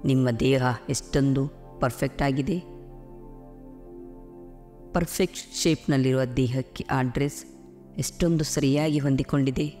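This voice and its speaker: A man leads a guided meditation over background music. His voice sits at a medium pitch. He speaks soothingly and deliberately, leaving space between phrases. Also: steady tone, darkish tone. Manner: slow, soothing, deliberate pauses. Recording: music behind the voice. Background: clear background music.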